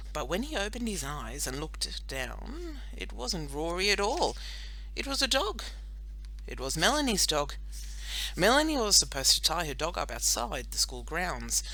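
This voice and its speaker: Woman, children's audiobook narrator, expressive, animated intonation, very bright tone, dramatic pauses. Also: low-pitched, low-quality recording.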